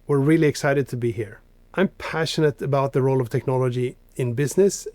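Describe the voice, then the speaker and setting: A man's voice: mid-range voice, darkish tone, no room sound. A man from Sweden, a technology executive giving a polished, structured prepared introduction to colleagues. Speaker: newly appointed chief information officer. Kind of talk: prepared corporate introduction speech.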